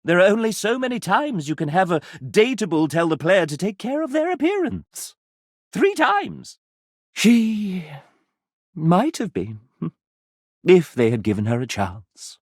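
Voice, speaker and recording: Flamboyant, swooping game host. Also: male voice, high-pitched, very animated, no echo, studio quality, heavily acted. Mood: wry, mock-tragic. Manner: arch, dramatic, drawn-out phrasing with big swoops. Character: flamboyant, theatrical male game-developer host, fussy and sardonic. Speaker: video game character acting as game show host. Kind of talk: theatrical video game voice acting.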